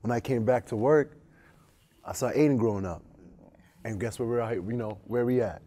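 Casual young man, mellow tone. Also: mid-range voice, normal speed. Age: young adult. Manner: casual, repetitive, energetic. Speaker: club alumnus and staff. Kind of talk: panel interview. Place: studio stage.

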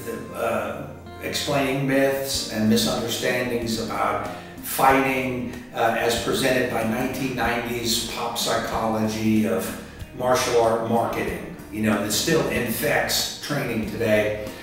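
A man in his sixties giving casual interview answers in a rambling, halting way, often repeating words. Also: mid-range voice, steady tone, fairly bright tone. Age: 60s. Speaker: author and martial arts instructor being interviewed. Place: indoor room by a curtained window. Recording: echoey room, distant mic, music underneath. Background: background music.

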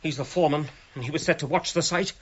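An actor playing an imperious English gentleman archaeologist in a radio drama, clipped, formal and authoritative. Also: on the high side, fast, breathy edge. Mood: indignant. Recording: dull, faintly noisy radio recording.

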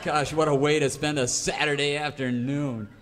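An actor playing a folksy, gee-whiz cowboy sidekick, grumbling but loyal, in a parody radio serial. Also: male voice, a little high, fairly even intonation, normal speed, heavy background noise, lightly acted.

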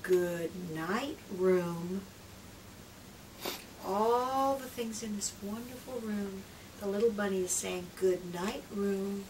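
Middle-aged woman reading slowly to children, noisy recording. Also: mid-range voice. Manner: gentle, expressive, drawn-out phrases with hushed whispers and questions to the listener. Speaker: children's read-aloud presenter and trainer. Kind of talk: picture-book read-aloud for young children. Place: small indoor room lined with picture books. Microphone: far camera microphone. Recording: distant microphone, clear background room noise.

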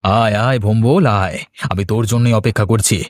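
Man acting a menacing low-voiced village villain. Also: steady tone, normal speed, no room sound, clean studio sound, natural voice. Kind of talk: Bengali horror cartoon dialogue.

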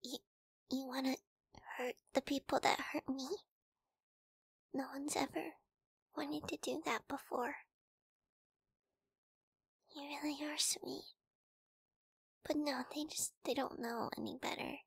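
A woman playing a timid, sweet, wary young wolf girl in an ASMR roleplay, speaking softly and hesitantly, often in whispers, close to the microphone. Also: sits high, steady tone, slow, creaky, long pauses, dry recording, heavily acted. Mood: shy, subdued. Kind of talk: ASMR girlfriend audio roleplay.

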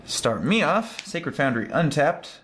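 A man's voice: fairly high pitch, sing-song, takes it slowly, fairly bright tone. A man playing a card game on a show, chatting casually and reacting with laughs and exclamations. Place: indoor studio table, overhead camera.

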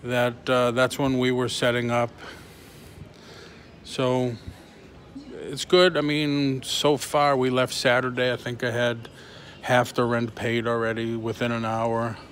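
A middle-aged man chatting casually on a live vlog from inside a shop, in a halting way, recorded on a handheld phone. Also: medium pitch, completely flat delivery, a bit bright. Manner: halting, reading and answering comments. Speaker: toy reseller livestreaming. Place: large concrete-floored antique mall booth indoors. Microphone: phone held at arm's length or closer. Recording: handheld phone with clear ambient noise.

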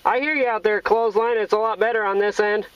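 High-pitched man hailing over CB. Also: steady tone, fast. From Iowa, United States. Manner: repetitive calling out, hailing. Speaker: CB radio operator. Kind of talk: casual CB radio chat in radio slang. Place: inside a vehicle. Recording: noisy, muffled in-car CB radio recording.

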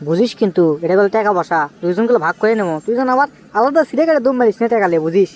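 A man voicing an excitable, scheming young village man in a comic cartoon, with a Bogura accent from northern Bangladesh and an exclamatory, wheedling manner. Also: high-pitched, rapid-fire, natural voice. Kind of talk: comic cartoon dialogue in rural dialect.

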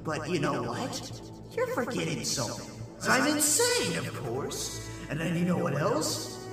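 Man playing an insane high-pitched killer doll. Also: huge pitch swings, unhurried, full character voice. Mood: taunting menace. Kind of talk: theatrical horror character acting. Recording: distant, heavily echoing, faint music.

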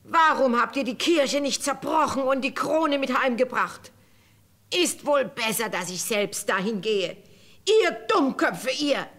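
A spiteful old witch in a fairy-tale audio play. Her voice is high-pitched and she scolds and orders like a tyrant. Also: animated, unhurried, a bit bright, full character voice. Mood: angry scolding. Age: older. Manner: scolding, commanding.

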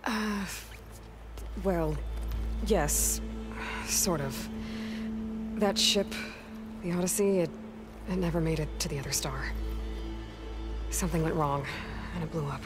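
A young huntress in a video game, with a low voice over prominent music. She is practical and curious, a little guarded, and speaks naturally. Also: takes it slowly, pauses often. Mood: hesitant, thoughtful. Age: young adult. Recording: music behind dialogue.

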